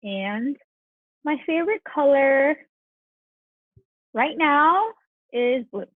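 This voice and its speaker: A woman's voice: sits high, expressive, extremely slow, dry recording. A woman in her twenties from South Korea with a Korean accent, chatting casually as a podcast guest. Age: 20s. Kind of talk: casual chat. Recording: tinny, phone-like line, dry.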